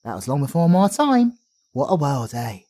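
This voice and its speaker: A man's voice: fairly high pitch, sing-song, normal speed, mellow. A young man with a British accent, voicing a friendly, chatty male traveler companion who rambles and uses matey slang in an audio roleplay. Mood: storytelling.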